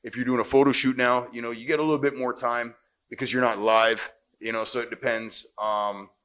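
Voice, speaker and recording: A man with a Jamaican accent and a deep, smooth voice, an actor and radio host chatting casually in an interview with many "you know"s. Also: little pitch movement, brisk, a bit bright.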